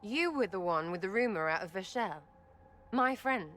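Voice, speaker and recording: A woman's voice: medium pitch, lively intonation, normal speed, a bit bright, slight background noise, lightly acted. A woman voicing a brash, foul-mouthed young rebel elf in a video game, with an English accent and a cheeky, combative, slangy delivery. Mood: taunting.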